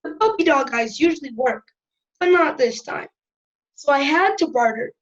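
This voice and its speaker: High-voiced cheeky schoolboy played by a woman, dull recording. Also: lively intonation, moderate pace. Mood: chatty, amused. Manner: wry, conversational. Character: cheeky, chatty schoolboy narrating his family troubles. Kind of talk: first-person storytelling in audio drama.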